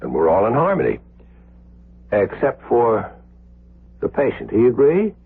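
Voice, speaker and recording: A dull, faintly noisy old radio recording of a stern middle-aged senior surgeon. The voice is gravelly and moves expressively in pitch. He is curt, dry and exacting, used to giving orders. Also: huge pitch swings, a little slow, exaggerated voice. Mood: curt, testing. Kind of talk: 1970s radio drama acting.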